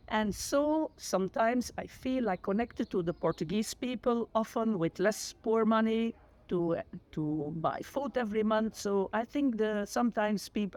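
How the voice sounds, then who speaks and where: A woman's voice: mid-range voice, darkish tone. Flemish woman in her sixties, a retired teacher and Dutch native; earnest, sincere, self-correcting as she goes. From Flanders, Belgium. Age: 60s. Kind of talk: personal testimony to camera.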